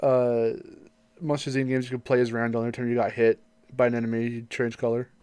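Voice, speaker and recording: Man, podcast co-host, dry recording, mid pitch, playful joking game chat. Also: little pitch movement, fast talker, a little mellow, smooth, no echo. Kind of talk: casual chat about video games.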